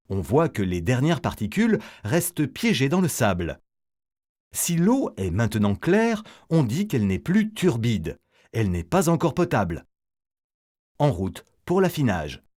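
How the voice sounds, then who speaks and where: A man's voice: mid-range voice, a little mellow. A man reading a scripted educational narration as the narrator of an explainer film, setting things out in a didactic, step-by-step way.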